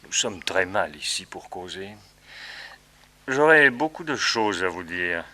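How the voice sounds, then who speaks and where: An actor playing a calm, menacing villain in a French radio serial, suave and mock-polite in his measured delivery. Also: low voice, full character voice. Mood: conspiratorial.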